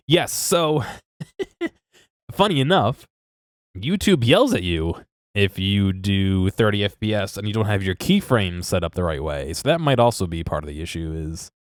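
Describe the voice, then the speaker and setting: Congested streamer, deep voice, rambling chat, dry close mic. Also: male voice, no room sound. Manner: rambling, self-interrupting, laughs at himself. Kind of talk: casual live-stream chat.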